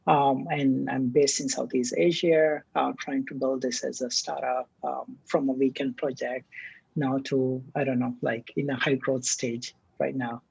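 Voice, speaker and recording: A man from the Maldives, a startup CTO, answering interview questions in a relaxed, hesitant way with many fillers. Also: medium pitch, measured pace, creaky, slightly rough, muffled recording.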